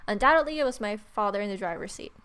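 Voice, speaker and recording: A young adult woman hosting a let's play, reading visual novel narration aloud in an irreverent, expressive way. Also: mid-range voice, fast, clean tone. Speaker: gaming video host.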